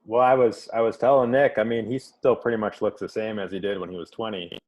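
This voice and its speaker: A man hosting a podcast with a fairly low voice. He talks in a relaxed, unhurried way and pauses on fillers while he thinks. He chuckles easily along the way. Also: highs cut off. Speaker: podcast host. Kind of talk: casual chat.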